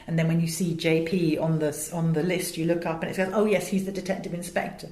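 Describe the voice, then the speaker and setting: A woman's voice: on the low side, fairly even intonation, quick pace, dark tone. A woman with an English accent, talking in an interview as a director, explaining her craft in an articulate way. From England. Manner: articulate, illustrates points with acted examples. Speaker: voice director and audiobook producer. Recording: roomy, echoey sound.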